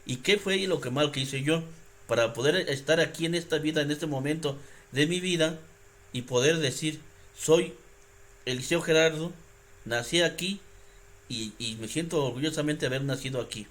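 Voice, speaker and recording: A Mexican man muses aloud in a relaxed, personal way, his voice sitting at an ordinary middle pitch. He drifts from thought to thought, stopping to restart his sentences and filling pauses as he goes. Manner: rambling, halting, with many restarts and "este" fillers. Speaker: reaction video host. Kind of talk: casual reflective monologue.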